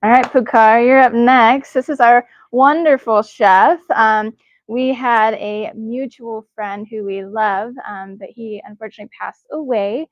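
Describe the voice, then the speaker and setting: A woman's voice: fairly high pitch, fairly even intonation, unhurried, no echo, highs cut off. A woman hosting a live wellness event, introducing guests with enthusiasm and plenty of fillers. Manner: enthusiastic, cheering, frequent fillers. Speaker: event host. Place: indoor event space.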